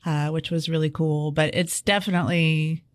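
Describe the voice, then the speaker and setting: A woman, a restaurant co-owner talking in a relaxed, hesitant way in an interview. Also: deep voice, moderate pace. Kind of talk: casual interview.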